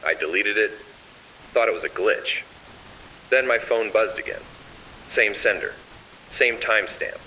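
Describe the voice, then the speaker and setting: Ominous low-pitched male horror narrator, lo-fi. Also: pushed and bright. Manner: ominous, deliberate, measured. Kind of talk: scripted analog horror narration, addressing the listener. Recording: dull lo-fi, distant, hissy.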